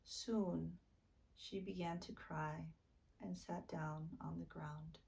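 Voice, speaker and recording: A woman reading a children's book aloud as a storytime narrator, expressive and deliberate. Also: on the low side, vocal fry, rough recording. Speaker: storytime reader.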